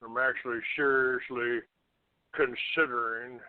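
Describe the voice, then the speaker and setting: A man hosting a call-in show, ranting off the cuff in a halting, accusatory and combative manner. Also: medium pitch, steady tone, clear, resonant tone, no echo. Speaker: internet call-in show host. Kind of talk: unscripted talk show rant. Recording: narrow, tinny phone-like line.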